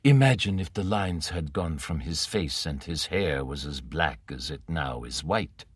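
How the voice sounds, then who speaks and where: A man doing a soft-spoken sinister mastermind. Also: lively intonation, husky, no room sound, clean studio sound, exaggerated voice. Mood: mild menace. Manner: mild, low, slow and quiet. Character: cold, sinister mastermind, calm and menacing.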